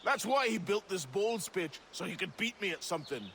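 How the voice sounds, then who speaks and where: An actor playing a cocky, competitive, teasing colonial villager in a video game. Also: male voice, sits high, animated, fairly quick, a bit bright, a little hiss or hum. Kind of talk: video game cutscene acting.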